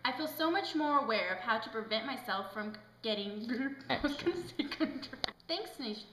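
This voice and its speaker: A woman's voice: fairly high pitch, expressive, moderate pace, a bit bright. A woman hosting a mock TV health show with scripted lines and a playful, giggly manner. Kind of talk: scripted presenting, often breaking into laughter. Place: indoor room, amateur student video. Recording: echoey room with background noise.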